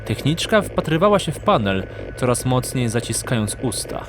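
A man narrating a fantasy audio drama in a measured, suspenseful storytelling manner. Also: mid-range voice, sing-song, noisy background. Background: music.

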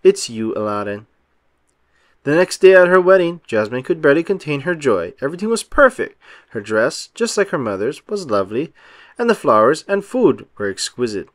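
A man narrates a children's picture book with animated emphasis. His voice sits fairly high and swings widely in pitch. The sound is close and dry. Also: a little fast, no echo. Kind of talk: expressive scripted storybook narration.

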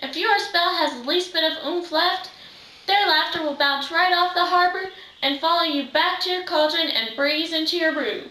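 A woman's voice: extremely high pitch, normal speed, sharp tone. A teenage girl playing a witch's chatty, bossy sidekick, reading with exclamations in readers' theater. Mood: amazed. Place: living room, far camera microphone. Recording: roomy, distant microphone.

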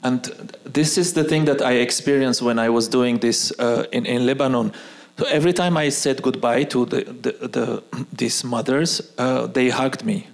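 A man in his forties, a native Arabic speaker, speaking on an auditorium stage as a guest artist, pausing and restarting often. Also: mid-range voice, fairly even intonation, vocal fry. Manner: hesitant, frequent restarts. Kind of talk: onstage conversation. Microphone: handheld mic.

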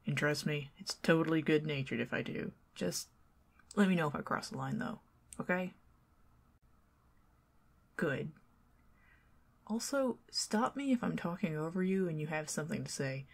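A woman with a Southern American accent doing an ASMR roleplay as a chatty, teasing neighbor who talks to the listener and drifts into soft whispers. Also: low voice, animated, normal speed, creaky, long pauses. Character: friendly talkative young woman neighbor.

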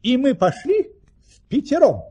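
An actor playing a jovial, boastful baron, telling tall tales theatrically in a grand, courteous, exclamatory manner. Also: male voice, extremely high pitch, wildly swooping pitch, a little slow, muffled recording, exaggerated voice. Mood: storytelling. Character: jovial boastful baron recounting his tall-tale travels. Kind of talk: theatrical audio-play storytelling.

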